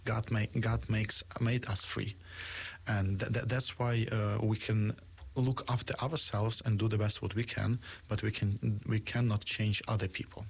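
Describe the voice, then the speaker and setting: Husky, gravelly low-voiced man from Ukraine. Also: little pitch movement. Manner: halting, searching for words, earnest. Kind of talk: conversational interview.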